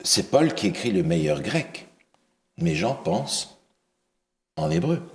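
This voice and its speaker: A man preaching a Bible study, asking the listeners questions and pausing on fillers and restarts. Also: on the low side, very animated, gravelly. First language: not English. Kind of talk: interactive Bible teaching.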